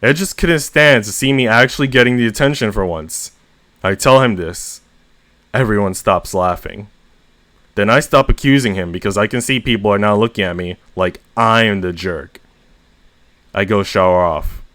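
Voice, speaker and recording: A man reading first-person short stories aloud as host, with expressive emphasis on the narrator's exasperation. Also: fairly low pitch, moderate pace, dry recording. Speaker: story reader and host.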